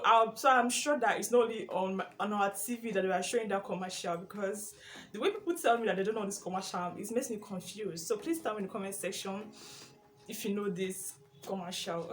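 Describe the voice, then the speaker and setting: Chatty Nigerian woman vlogging. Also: mid-range voice. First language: Igbo. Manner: chatty and animated, with frequent fillers and laughs. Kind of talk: casual chat answering a question tag.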